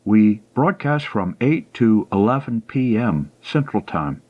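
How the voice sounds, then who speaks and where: A man signing off as the host of a radio show, in a smooth, friendly announcer voice. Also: fairly low pitch, sing-song, slow pace, narrow, tinny audio. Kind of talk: station sign-off announcement.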